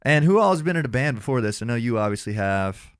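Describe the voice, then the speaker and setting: A man's voice: mid-range voice, sing-song, fast talker, dry recording. A man with a Southern US accent hosting a casual podcast interview with a band, loose and joking, in a small studio with several mics. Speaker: podcast host.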